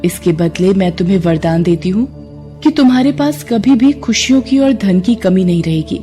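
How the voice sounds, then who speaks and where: Serene goddess for a devotional storybook, acted by a woman; medium-pitched, kindly, formal and gracious. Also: expressive, normal speed, dark tone, soft background music, lightly acted. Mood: gracious, solemn. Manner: formal, solemn, kindly pronouncements. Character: benevolent goddess, serene and gracious, granting blessings.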